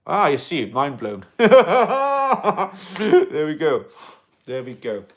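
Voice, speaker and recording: Excitable rambling man on a livestream. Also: on the high side, drawn-out words. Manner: rambling and excitable, with many fillers and restarts; loud bursts of excitement between quieter asides. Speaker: livestreamer in an alien costume. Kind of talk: casual livestream chat, reading and answering viewer comments.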